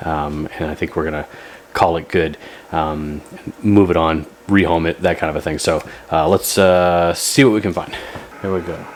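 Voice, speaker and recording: A man's voice: deep voice, steady tone, normal speed, noisy background. A man talking casually through a hands-on repair video in a rambling, folksy, laid-back way. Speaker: host of a radio repair video.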